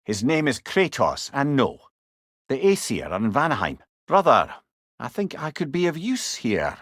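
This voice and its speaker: A man's voice: mid-range voice, huge pitch swings, normal speed, fairly bright tone, no room sound, clean studio sound. A man playing a witty, avuncular old Scottish counsellor in a video game, a severed head who talks in a wry, courtly, chatty way with salty turns of phrase. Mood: earnest, diplomatic. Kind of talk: video game character dialogue.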